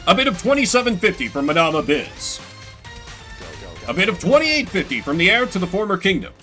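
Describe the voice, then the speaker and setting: A man voicing a formal game auctioneer who calls out bids in a crisp announcing manner. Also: high voice, big pitch swings, brisk, sharp tone, faint music behind, pitched above the performer's own voice, full character voice. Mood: brisk, rising excitement.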